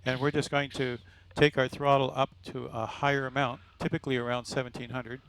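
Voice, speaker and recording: A man's voice: medium pitch, little pitch movement, a little fast, fairly bright tone, smooth. A man giving a chatty, explanatory hands-on demonstration in a casual style, speaking into a headset or lapel mic. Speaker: product demonstrator, pilot. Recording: faint background noise, headset and lapel mics.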